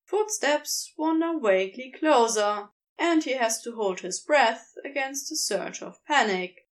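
Podfic reader: woman, slow measured pace, lively pitch, fairly high voice. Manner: measured expressive storytelling. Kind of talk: fanfiction audiobook narration.

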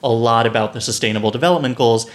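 A man's voice: medium pitch, quick pace. Upbeat podcast host, a man. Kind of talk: scripted intro and interview questions.

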